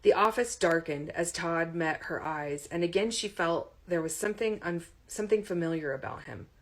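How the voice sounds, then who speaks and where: A woman reading a romantic comedy story aloud in an expressive audiobook narration style. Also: lowish voice, moderate pace.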